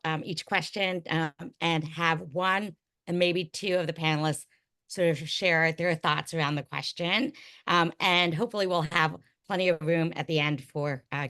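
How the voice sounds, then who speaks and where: A woman moderating a conference panel, introducing speakers and asking questions in a quick, upbeat way with fillers. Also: fairly low pitch, a bit bright. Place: conference stage.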